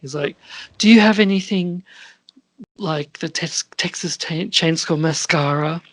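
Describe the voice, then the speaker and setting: Halting male podcast host, dry close sound. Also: on the high side, fairly bright tone, no echo. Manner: halting, trailing off mid-sentence. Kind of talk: casual chat.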